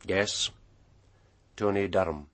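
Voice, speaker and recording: An actor playing a gruff, wry police detective with a husky voice in a radio crime drama. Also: male voice, deep voice, takes it slowly, a bit bright, slight background noise. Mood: businesslike.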